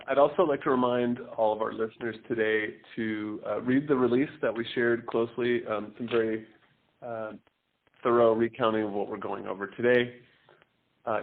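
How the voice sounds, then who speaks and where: A man, a company executive, giving earnings call remarks with hesitant, pause-filled phrasing. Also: medium pitch. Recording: phone line.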